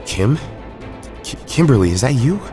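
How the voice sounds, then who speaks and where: A man playing a steady, wry heroic team leader in a superhero audio drama. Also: medium pitch, moderate pace, music in the background, lightly acted. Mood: urgent, defiant.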